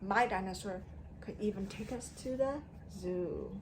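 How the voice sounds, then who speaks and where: A woman teacher reading a story aloud to young children in an expressive way, using simple phrasing and putting questions to them. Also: mid-range voice, unhurried, noisy background. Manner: expressive, emphatic delivery.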